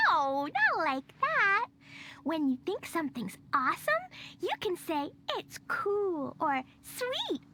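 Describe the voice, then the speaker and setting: Squeaky, excitable tiny fairy. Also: female voice, slow pace, noisy background, heavily acted. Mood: amused, chatty. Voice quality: squeaky, childlike. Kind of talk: video game voice acting. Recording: music clearly behind the voice.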